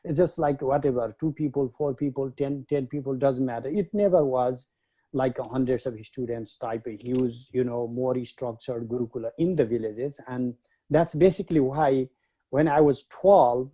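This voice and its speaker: A man from Nepal, a guest scholar, recalling his upbringing in an unhurried way with many pauses. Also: medium pitch, fairly even intonation, mellow, dull audio. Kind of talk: reflective interview talk.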